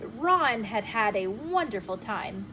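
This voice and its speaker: A woman reading a children's story aloud in an animated, expressive way, addressing young listeners directly. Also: on the high side, slow pace, low-quality recording. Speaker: storyteller host. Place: bookshop, with ambient noise. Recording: clear background noise.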